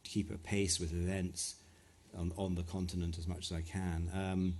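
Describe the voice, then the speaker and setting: Hesitant, low-voiced middle-aged man. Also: little pitch movement, normal speed, darkish tone, husky. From West Africa (Ghana, Nigeria). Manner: hesitant, thoughtful, many restarts. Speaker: author answering audience questions. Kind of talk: live Q&A at a literary talk. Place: event venue before an audience.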